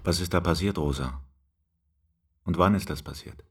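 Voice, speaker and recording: Very deep, husky policeman. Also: normal speed, a bit bright, full character voice. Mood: grave. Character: grave, insistent policeman questioning an old friend. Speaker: radio drama actor. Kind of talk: naturalistic German radio drama dialogue.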